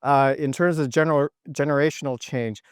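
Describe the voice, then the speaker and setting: A middle-aged man from Canada giving thoughtful, halting answers as a guest in an interview. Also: mid-range voice, fairly even intonation, smooth, dry recording, studio quality. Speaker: podcast guest, technology executive.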